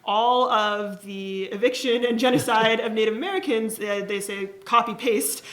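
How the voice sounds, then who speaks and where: A woman's voice: a little high, moderate pace, rough recording. A woman guest in a live podcast interview, explaining in long, fluent, connected points. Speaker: guest speaker.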